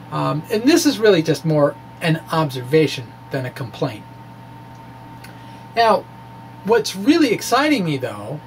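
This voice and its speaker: A man's voice: a little high, a little hiss or hum, rough recording. A man reading his science blog aloud as an informal explainer, with quirky, emphatic phrasing. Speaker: science blogger presenting physics puzzles. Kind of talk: dramatic reading of a physics blog with asides.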